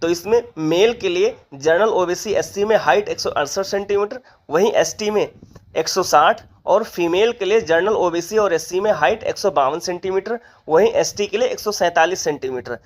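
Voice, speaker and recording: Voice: medium pitch, normal speed. A presenter giving an informational explainer, methodically reading out details and figures. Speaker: exam update presenter.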